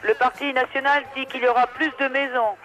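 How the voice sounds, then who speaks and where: Very high, tense male voice on archive radio. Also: fairly even intonation, a little fast, sharp tone, faint music behind, rough recording.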